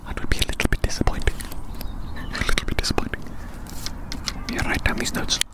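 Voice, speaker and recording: Asmr person whispering breathy, rambly monologue, repeats phrases a lot, kinda formal wording. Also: brisk. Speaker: ASMR performer.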